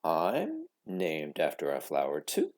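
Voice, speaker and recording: A man voicing a kind, playful woman music teacher in a storybook, reassuring and knowing, with measured delivery. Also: a bass voice, wildly swooping pitch, a little mellow, pitched below the performer's own voice, full character voice. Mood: gently reassuring.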